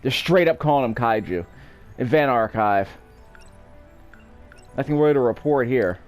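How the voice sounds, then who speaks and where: A man's voice: medium pitch, expressive, normal speed, soft background music. A man giving casual, offhand game commentary, wryly thinking aloud as he plays. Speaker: let's play commentator.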